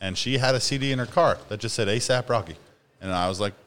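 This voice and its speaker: A man's voice: fairly low pitch, quick pace, a bit bright. Blunt, slangy young man chatting. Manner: blunt, slangy, swears freely. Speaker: podcast co-host. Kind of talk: casual, profane banter.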